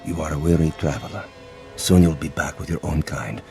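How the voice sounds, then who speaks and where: A stern male monk keeping watch as jailer speaks in an extremely deep voice. He is solemn, formal and falsely soothing, a radio drama figure with music clearly behind him. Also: fairly quick, darkish tone. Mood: ominous calm. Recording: music bed under the voice.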